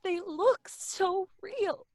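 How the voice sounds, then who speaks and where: A woman's voice: very high-pitched, extremely slow, darkish tone, no echo, clean studio sound, full character voice. An actress playing an anxious wife and mother in a live audio drama, uneasy and pleading, rising to panicked cries. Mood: frightened. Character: anxious adult wife Lydia, frightened mother. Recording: live stage recording with faint music.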